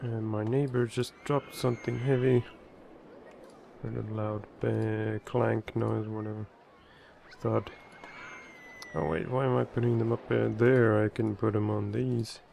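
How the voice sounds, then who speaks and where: A man's voice: lowish voice, flat delivery, measured pace, creaky, a little mellow, slight background noise. A man thinking aloud in casual gameplay commentary, rambling and playful, recorded at home. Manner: rambling, playful, breaks into nonsense sounds. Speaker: let's play creator.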